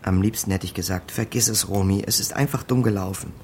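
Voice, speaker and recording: An actor playing a smooth-talking small-time crook in a radio crime drama, wry and rambling, both talking and narrating. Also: male voice, fairly low pitch, quick pace, a little mellow, a little hiss or hum, lightly acted. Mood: cautious, wry. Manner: wry, hesitant, rambling in dialogue.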